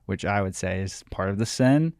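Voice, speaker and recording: Twenties guy cohosting a faith podcast, lowish voice, super dry close sound. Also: animated, normal speed, a little mellow, no room sound. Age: 20s. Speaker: podcast co-host.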